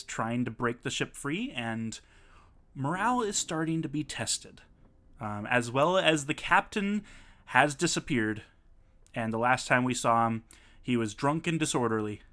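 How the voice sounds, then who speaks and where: A man hosting a casual live stream with friends, animated and quick to laugh, sometimes reading haltingly. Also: medium pitch. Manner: animated, laughs often, reads aloud haltingly. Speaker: streamer and host.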